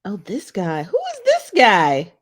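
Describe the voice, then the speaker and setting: A woman's voice: mid-range voice, wildly swooping pitch, measured pace. A woman with a head cold hosting a casual livestream talk show, chatty, saying y'all and laughing often as she reads viewer comments. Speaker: host of a gossip talk show.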